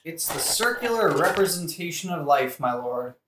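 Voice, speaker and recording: A dry, deadpan figure played by an actor in a comic audio play. The delivery is matter-of-fact and slightly officious, with lively swings of pitch and plenty of room echo. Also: normal speed, noisy background, close to an everyday voice. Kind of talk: satirical modern-language audio play acting. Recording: echoey room.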